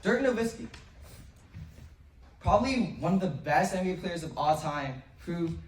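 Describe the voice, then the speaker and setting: 7th grade boy giving a school talk, kinda higher voice, sounds far off in a big echoey room. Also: lively intonation. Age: young teen, 7th grade middle school student. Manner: earnest, with pauses, some restarts. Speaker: student speaker. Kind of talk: memorized school speech to an assembly. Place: school assembly hall. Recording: roomy, distant from the mic.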